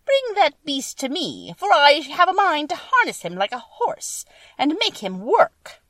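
A woman voicing a wicked, commanding witch in a storybook, loud, emphatic and imperious. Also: high voice, wildly swooping pitch, unhurried, no room sound, far from the mic, pitched above the performer's own voice, full character voice. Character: wicked witch, angry, commanding, chanting spells. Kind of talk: storybook character voice.

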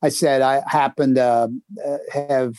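A man's voice: mid-range voice, fairly even intonation, a little slow, a little mellow, no echo. A man co-hosting a podcast in casual chat, chatty and self-mocking. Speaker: podcast co-host.

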